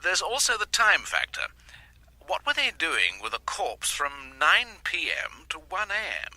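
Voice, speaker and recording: An actor playing an earnest police detective reporting urgently and precisely in a radio drama. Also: male voice, sits high, wildly swooping pitch, a little slow, bright, forceful tone, no room sound, full character voice, low-quality recording. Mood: earnest, puzzled.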